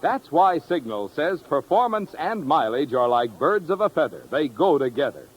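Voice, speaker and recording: Husky, lively male radio pitchman. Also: moderate pace, poor audio. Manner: chummy, folksy salesmanship. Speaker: radio commercial announcer.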